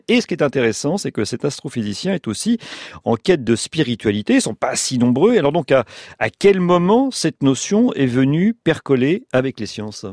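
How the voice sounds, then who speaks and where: A man's voice: mid-range voice, a little mellow, no room sound. A man hosting a radio interview, introducing his guest in a conversational way with light jokes, in a studio. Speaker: radio host.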